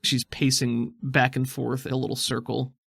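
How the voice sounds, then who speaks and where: A man's voice: medium pitch, monotone, moderate pace, vocal fry, fairly bright tone, breathy edge, no echo. A man narrating a tabletop roleplaying game as game master, in casual, descriptive phrasing.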